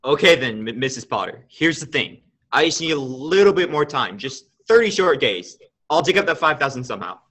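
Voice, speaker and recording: Young man acting a weary, bright-toned father. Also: medium pitch, sing-song, fairly quick. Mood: pleading. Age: young adult. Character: tired, principled, kindly father and loan manager. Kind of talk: radio play acting.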